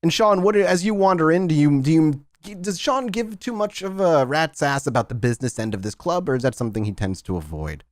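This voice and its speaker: A man's voice: on the high side, lively intonation, brisk, hardly any pauses, no echo, clean studio sound. A man acting as game master, improvising narration and putting questions to players in a tabletop roleplaying game.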